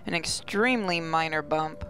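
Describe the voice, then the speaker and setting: A woman's voice: low-pitched, moderate pace, fairly bright tone, faint music behind, poor audio. A woman hosting a gaming channel, reading a visual novel aloud with playful, sarcastic asides.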